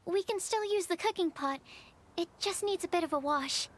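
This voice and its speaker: A woman voicing a shy, earnest young alchemist in a video game, speaking softly and thoughtfully. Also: very high voice, moderate pace, slight background noise. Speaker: alchemist researcher.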